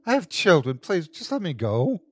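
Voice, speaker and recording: A man voicing a terrified mother in a horror story, pleading and halting as she speaks. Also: on the high side, huge pitch swings, husky, pitched above the performer's own voice, exaggerated voice. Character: terrified pleading mother, adult woman in torment. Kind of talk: horror audiobook character voice.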